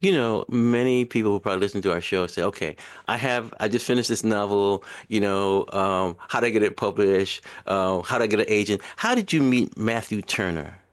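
A man hosting a radio interview show, asking questions in a relaxed, conversational way. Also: on the low side, brisk, hardly any pauses.

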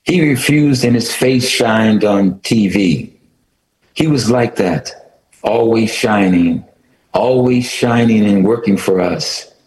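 A man reading aloud in the voice of a grieving sixteen-year-old narrator, somber and steady. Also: on the low side, slow. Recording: roomy echo, faint noise.

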